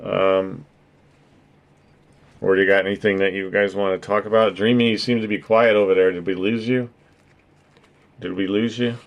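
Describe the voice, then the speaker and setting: A deep-voiced man hosting a laid-back live stream, talking straight to his chat. He jokes around, swears freely and breaks into laughter often. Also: flat delivery, normal speed, gravelly, pauses often. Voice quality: naturally deep voice. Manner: joking and profane, laughing often. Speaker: streamer and podcast host.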